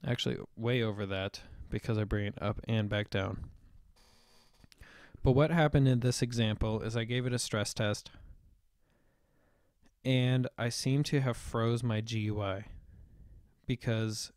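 A young man explaining software setup step by step in a screen-recorded tutorial voice-over, with the odd "um". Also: medium pitch, steady tone, unhurried, pauses often, smooth, no room sound. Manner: explanatory, step-by-step, with occasional fillers. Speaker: tutorial presenter.

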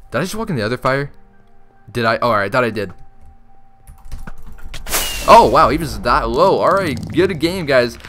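A young man giving casual, excitable commentary over his own gameplay, talking straight to his viewers, his voice strained from shouting. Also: medium pitch. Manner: chatty, excitable, talks to viewers. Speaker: gaming YouTuber.

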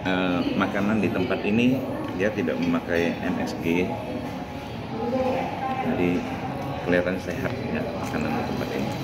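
A man's voice: low-pitched, steady tone, normal speed, long pauses, heavy background noise. A middle-aged man casually chatting through a food review vlog, explaining each dish as he tastes it at a cafe table. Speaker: food vlogger. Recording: cafe recording with loud background music. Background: loud music.

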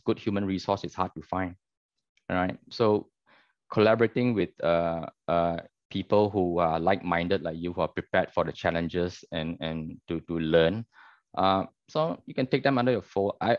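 A middle-aged man gives informal advice in a deep, low voice. The video call sound is dull but dry, with little room in it. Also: no room sound. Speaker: architect, panel speaker. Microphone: video call microphone.

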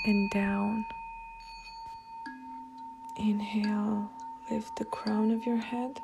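A woman guiding a meditation in a soft, hushed, breathy way, leaving long pauses between instructions. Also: fairly high pitch. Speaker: meditation guide. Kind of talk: guided meditation narration. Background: soft meditation music.

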